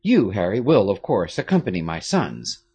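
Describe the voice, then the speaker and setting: A commanding aristocratic father lays down the law, as a man reads him. His husky voice rises high and swings widely as he speaks firmly and gravely. It is a storybook figure. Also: very animated, moderate pace, dark tone, full character voice. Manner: firm, authoritative. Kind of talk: audiobook character reading.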